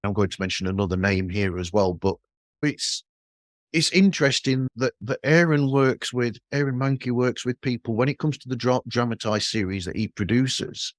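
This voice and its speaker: A man's voice: medium pitch, normal speed, no echo, clean studio sound. A man hosting a podcast interview in a casual, meandering way, rephrasing his thoughts and questions as he goes.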